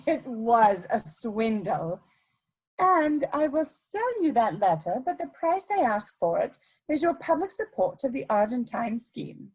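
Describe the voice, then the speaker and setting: Woman doing a posh scheming society lady blackmailer, high voice, very animated, imperious stage play delivery. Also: breathy edge, full character voice, poor audio. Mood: coolly menacing. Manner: theatrical, deliberate, imperious. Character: elegant scheming female blackmailer, coolly ruthless society lady. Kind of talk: dramatic reading of a period stage comedy.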